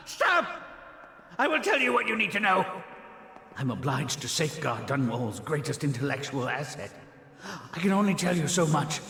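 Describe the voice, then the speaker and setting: An actor playing a proud, pompous older scientist held captive in a video game, speaking in a formal, theatrical way. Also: male voice, a little high, creaky, pushed and bright, heavily acted. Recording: echoey room.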